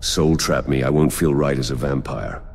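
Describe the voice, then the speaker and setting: A man voicing a gruff warrior hero in a video game, speaking in a terse, blunt but loyal manner. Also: extremely low pitch, moderate pace, darkish tone, soft background music, heavily acted. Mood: resolute. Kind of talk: voiced player character dialogue in game mod.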